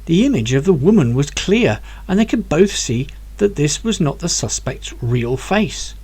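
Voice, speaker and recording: Brief: author-narrator, man, lively pitch, somewhat high voice, expressive reading. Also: moderate pace. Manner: clear, expressive storytelling. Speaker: author reading his own book. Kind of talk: audiobook narration.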